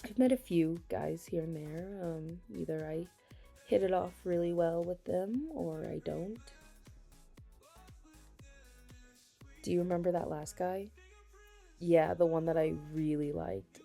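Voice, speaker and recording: A woman's voice: on the low side, moderate pace, vocal fry, dark tone, soft background music. A young woman playing a chatty, dramatic best friend in a casual ASMR audio roleplay. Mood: casual, resigned. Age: young adult. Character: chatty, caffeine-loving female best friend, self-deprecating about dating.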